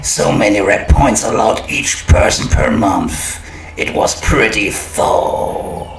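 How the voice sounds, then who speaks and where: An actor playing a gleefully ghoulish old storyteller who narrates a horror audio drama in a macabre, mock-sinister manner. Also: male voice, lowish voice, expressive, takes it slowly, gravelly, full character voice. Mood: wry. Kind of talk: theatrical horror anthology narration. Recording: roomy echo, distant, loud music underneath.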